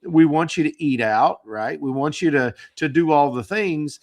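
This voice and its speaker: A man hosting a financial podcast, hoarse from the flu, talking casually with frequent fillers and repeated words. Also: fairly high pitch, normal speed, darkish tone. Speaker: podcast host.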